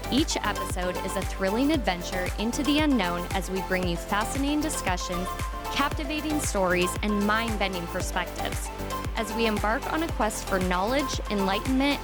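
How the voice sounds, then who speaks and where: An upbeat woman hosts a podcast, moving from a scripted intro into relaxed talk with her guest. She laughs often and gives quick agreeing replies. Her pitch sits in the middle range, and clear music plays behind her voice. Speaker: podcast host. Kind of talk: scripted intro, then casual chat.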